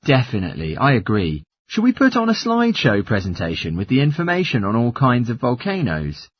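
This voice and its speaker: Man acting an agreeable husky-voiced male student. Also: mid-range voice, wildly swooping pitch, a little fast. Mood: agreeable, reasoning. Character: male geography student, agreeable, a little nervous. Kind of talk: scripted study dialogue for a listening test.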